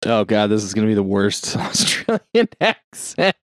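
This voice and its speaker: A man's voice: on the low side, sing-song, moderate pace, no echo. A man co-hosting a podcast with casual comic banter, teasing and sweary. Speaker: podcast co-host.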